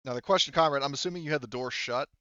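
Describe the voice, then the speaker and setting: Casual male game master, clear smooth voice, sweary table talk, dry and slightly muffled recording. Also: medium pitch, very fast, pushed and bright, no room sound. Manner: informal, swearing.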